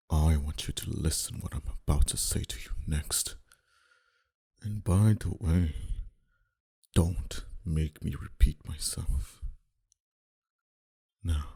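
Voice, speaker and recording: Man playing a very deep, gravelly mafia boss. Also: exaggerated voice. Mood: smooth, courteous. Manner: slow, deliberate, quietly threatening, teasing. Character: dominant, flirty male mafia boss, big and menacing. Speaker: voice actor. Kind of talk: intimate ASMR roleplay acting.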